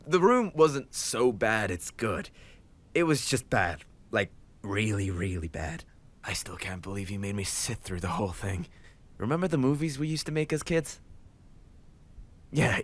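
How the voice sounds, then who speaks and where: A man playing a tough but soft-hearted gang leader boyfriend in an intimate romantic audio roleplay, affectionate and playfully teasing, with mock complaints. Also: fairly low pitch, expressive, moderate pace. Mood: casual, amused.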